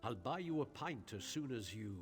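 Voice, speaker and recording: An actor playing a scheming, haughty silk merchant in a video game, with a theatrical, wheedling and spiteful delivery. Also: male voice, mid-range voice, expressive, moderate pace, close to an everyday voice. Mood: smooth, disbelieving. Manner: theatrical, pleading turning to sneering contempt. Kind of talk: dramatic video game voice acting. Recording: game audio with faint music.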